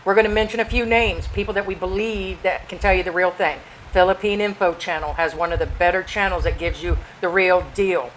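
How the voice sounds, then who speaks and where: A woman's voice: lowish voice, steady tone, a little fast, hardly any pauses, poor audio. Older american lady chatting, hops between points, bit of background noise. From United States. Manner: chatty, talks over her partner, jumps between points. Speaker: co-host. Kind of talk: casual chat.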